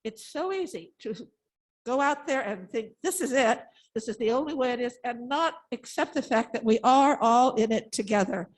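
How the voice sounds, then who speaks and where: A woman's voice: on the high side, lively intonation, moderate pace. An elderly woman sharing heartfelt, unscripted thoughts at a church gathering in an earnest, emphatic way. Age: 70s or older. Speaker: congregation member responding to a message.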